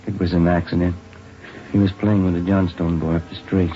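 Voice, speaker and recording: Actor as a very deep-voiced, clipped police detective. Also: male voice, little pitch movement, brisk, a little hiss or hum, natural voice. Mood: calm, sober. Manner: clipped, matter-of-fact, understated. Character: sober, plain-spoken police detective narrating his case. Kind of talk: 1950s radio drama narration. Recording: muffled old radio recording, faint music.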